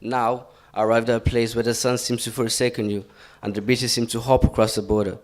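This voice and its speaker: A man's voice: medium pitch, flat delivery, brisk, a little mellow. A teenage boy reading his own poem aloud, hesitant and stumbling at times. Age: teens.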